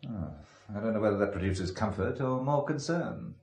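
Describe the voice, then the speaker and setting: A man's voice: fairly low pitch, expressive, moderate pace, a little mellow. An actor playing a guarded, sardonic English businessman in a radio drama, with an English accent and a clipped, evasive politeness. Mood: wary, suspicious.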